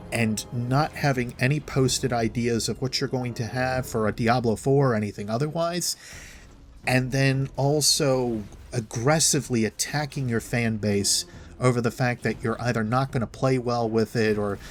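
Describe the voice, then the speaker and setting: Male gaming commentator, medium pitch, wordy and opinionated, semi-improvised monologue over faint music. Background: background music.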